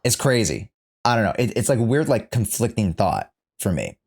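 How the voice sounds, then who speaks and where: A man's voice: on the low side, very animated, normal speed, gravelly, a little mellow. A man doing casual live-stream commentary on a game, rambling and reading the dialogue aloud as he goes. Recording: game music behind the voice. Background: game music and dialogue.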